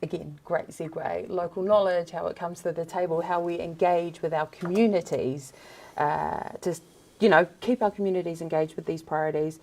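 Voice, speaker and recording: A woman's voice: fairly low pitch, fairly even intonation, normal speed, creaky, a little mellow. A woman moderating a live stream Q&A, reading out questions and responding in an upbeat, encouraging way.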